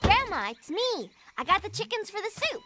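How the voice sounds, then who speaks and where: Game heroine, young girl: cheeky, high, lively intonation. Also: moderate pace, very noisy. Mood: earnest, chirpy. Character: plucky, cheeky young fairy-tale girl.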